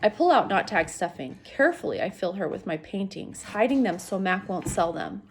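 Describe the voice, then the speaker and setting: A woman's voice: medium pitch, big pitch swings, normal speed, a little hiss or hum. A woman reading a children's novel aloud expressively, as a teacher does for students, speaking directly to young listeners.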